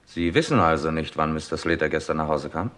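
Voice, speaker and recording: A man's voice: extremely low pitch, brisk, a bit bright. An actor playing a Scotland Yard chief in a radio crime drama, terse, businesslike and sceptical in his questioning. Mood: sceptical, probing. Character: brisk, probing Scotland Yard police chief.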